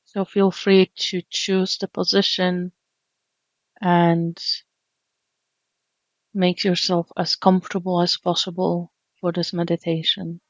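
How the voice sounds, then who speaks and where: A woman leading an unscripted guided body scan meditation, speaking gently and soothingly with long pauses. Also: lowish voice, little pitch movement, no echo, dull audio. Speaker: meditation teacher.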